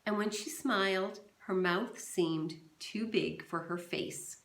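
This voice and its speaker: A woman teacher reading a novel aloud to her class in a calm, steady storytelling manner, recorded in a roomy, echoey space. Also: mid-range voice, slow. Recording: roomy echo.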